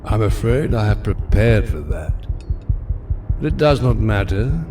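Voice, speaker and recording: An actor playing a menacing, grandiose middle-aged supervillain in an audio drama, measured and imperious. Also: male voice, lowish voice, very animated, over music. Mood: smug, mocking.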